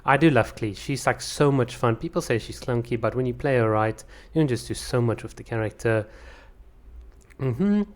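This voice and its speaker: A man's voice: medium pitch, animated, normal speed. A man hosting his channel, reading out viewer comments and chatting about them casually, with lots of fillers and restarts; English is not his first language. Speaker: channel host.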